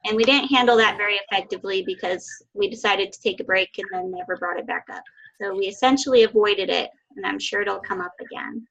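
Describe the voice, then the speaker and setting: Gravelly-edged bright young woman presenting. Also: fast talker, muffled recording. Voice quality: high-pitched voice with a slight lisp. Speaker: student presenter. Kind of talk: class presentation. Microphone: video call.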